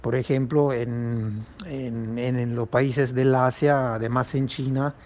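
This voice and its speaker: A man's voice: mid-range voice, fairly even intonation, takes it slowly, darkish tone, dull audio, a little hiss or hum. A man from Italy speaking Spanish as a second language with an Italian accent, answering as a comics publisher in a hesitant way, full of fillers and restarts. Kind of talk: remote podcast interview answer.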